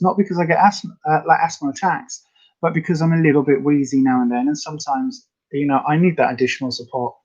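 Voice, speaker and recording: A woman with a slightly raspy voice, chatting casually and rambling to viewers as the host of a live health update. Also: deep voice, a little mellow, dull audio. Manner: chatty, rambling, many fillers.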